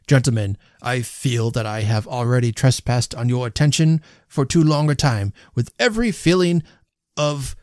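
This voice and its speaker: A man voicing a self-important, stentorian host who speaks haltingly and pompously in a storybook reading. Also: medium pitch, a little mellow, no echo, clean studio sound, natural voice. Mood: pompous, floundering. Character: self-important, stammering middle-class host making a pompous toast. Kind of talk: storybook character voice.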